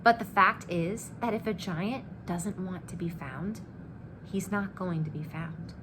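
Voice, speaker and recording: A woman's voice: on the low side, measured pace, close to an everyday voice. A woman voicing a cocky, greedy man, a boastful storybook giant killer with a breezy, persuasive way of talking. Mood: cocky, instructive. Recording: clear background noise.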